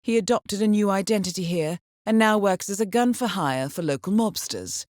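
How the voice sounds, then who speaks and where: An actress playing a cool, composed intelligence handler in a video game, briefing an assassin in a measured, dryly ironic way. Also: female voice, medium pitch, darkish tone, dry recording, studio quality, natural voice. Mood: crisp briefing. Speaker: mission handler.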